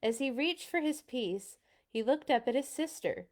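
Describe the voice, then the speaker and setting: A woman narrating a children's storybook aloud in an expressive read-along style. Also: high voice, normal speed.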